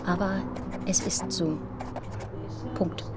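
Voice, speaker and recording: A woman's voice: fairly low pitch, fairly even intonation, slow, over loud music. An actress playing a melancholy woman reading out a farewell love letter in a radio drama. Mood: sad, intimate.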